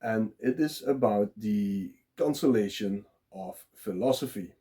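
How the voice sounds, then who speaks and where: A man with a Dutch accent, talking live and unprepared as a blogger, pausing often with filler sounds. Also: fairly low pitch, dark tone. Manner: halting, many filler sounds. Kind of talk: unscripted solo talk.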